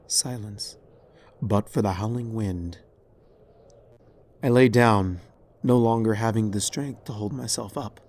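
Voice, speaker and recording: A man reading dramatic fiction as narrator in a somber, measured way. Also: fairly low pitch, darkish tone. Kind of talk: dramatic scripted story narration.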